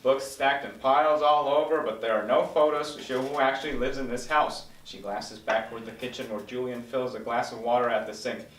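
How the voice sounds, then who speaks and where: A man's voice: medium pitch, fairly even intonation, a little fast, few pauses, a bit bright. A man reading stage directions as scripted narration at a table read in an echoey room. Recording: echoey room, distant mic.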